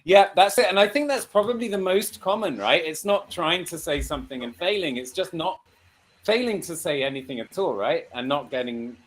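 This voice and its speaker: A man's voice: on the high side, expressive, brisk. A man hosting a casual group discussion, speaking informally and encouragingly. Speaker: host.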